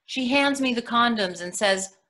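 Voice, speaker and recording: A woman's voice: fairly high pitch, moderate pace, a bit bright, natural voice. A woman playing a practical, blunt mother of daughters in a comic monologue reading. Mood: matter-of-fact. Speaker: actor in a readers theatre.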